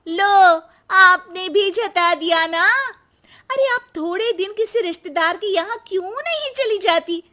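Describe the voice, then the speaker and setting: Sharp-tongued young wife played by a woman, shrill-high and unhurried, complaining through a moral cartoon tale. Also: animated, full character voice. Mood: irritated, complaining. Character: sharp-tongued, complaining young daughter-in-law in a family quarrel. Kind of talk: dramatised Hindi moral cartoon story.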